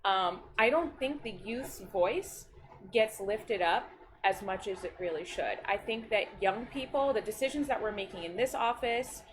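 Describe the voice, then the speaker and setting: A woman's voice: medium pitch, lively intonation, a little slow, slight background noise. A woman in her thirties, a county district staff member, chatting in a bubbly, upbeat way. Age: 30s. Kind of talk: casual chat on a video stream. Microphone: video call.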